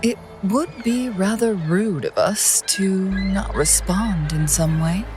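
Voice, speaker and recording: Actress as a slow, poised female adviser. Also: medium pitch, over music, lightly acted. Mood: composed, knowing. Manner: measured, poised, deliberate. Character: composed, astute female adviser, calm and enigmatic. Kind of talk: dramatic game voice acting.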